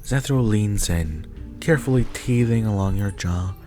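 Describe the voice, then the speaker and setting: A man's voice: fairly low pitch, moderate pace, dark tone. A man reading scripted narration for an ASMR roleplay story. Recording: clear music behind the voice.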